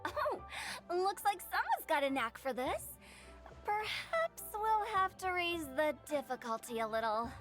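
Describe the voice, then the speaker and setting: Impish young girl director, very high pitch, theatrical and teasing, anime game. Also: very animated, measured pace, full character voice. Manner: teasing, theatrical, rhyming wordplay. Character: mischievous, theatrical teenage girl director, playful and wordy. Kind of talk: anime video game voice acting. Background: game music.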